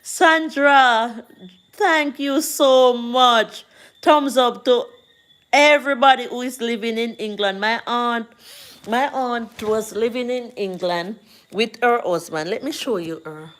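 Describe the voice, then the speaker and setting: A Jamaican woman hosting a casual livestream, chatting to viewers and reading out their comments in a slow, preachy way. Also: a little high, a bit bright.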